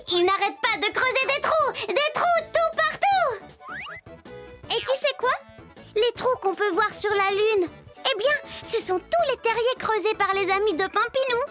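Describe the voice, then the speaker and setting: A playful little girl telling a cartoon tale. Her voice is extremely high and childlike, with a slow, chatty delivery over loud music. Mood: confiding.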